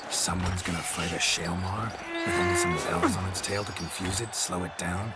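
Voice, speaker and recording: Man playing a deep, gruff, stoic monster hunter. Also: moderate pace, natural voice. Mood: stoic, wary. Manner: terse, deadpan. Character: gruff male monster hunter, stoic, world-weary, blunt. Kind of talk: video game dialogue acting. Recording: loud music behind the voice. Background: loud game music.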